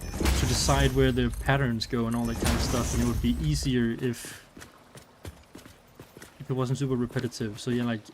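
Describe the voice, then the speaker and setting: Man with danish accent, casual streamer chat, rambling and hesitant, mid-pitched, faint background hiss. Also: little pitch movement, moderate pace, pauses often. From Denmark. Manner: rambling, lots of fillers and restarts.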